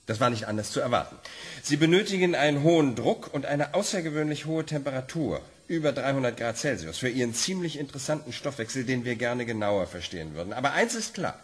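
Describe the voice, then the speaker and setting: A man's voice: mid-range voice, expressive, fast, lightly acted. An actor playing an eager male biology professor who is easily flustered. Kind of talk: radio play acting.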